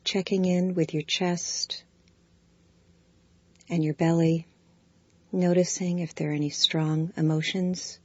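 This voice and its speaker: Low-voiced calm meditation guide woman. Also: no room sound, lo-fi sound. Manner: slow, soft, calm instructions. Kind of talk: guided body scan meditation.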